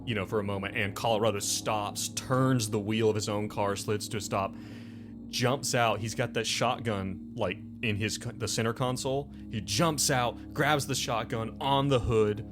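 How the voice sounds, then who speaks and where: Low-voiced man narrating over music. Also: steady tone. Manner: informal, halting, full of "like" and "you know". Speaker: game master. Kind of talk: improvised tabletop game narration. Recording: music playing behind the voice.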